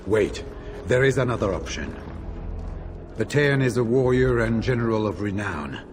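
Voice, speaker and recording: Low-voiced, solemn veteran warrior acted by a man. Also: lively intonation, close to an everyday voice. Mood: solemn, insistent. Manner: measured, solemn, persuasive. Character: solemn, pragmatic veteran warrior-monk of a grim order. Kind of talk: dramatic game acting. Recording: loud dramatic music behind.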